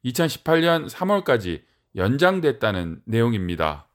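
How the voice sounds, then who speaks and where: A man's voice: mid-range voice, very animated, moderate pace. A man anchoring a radio news program, reading scripted links in a formal announcer tone. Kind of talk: scripted broadcast narration.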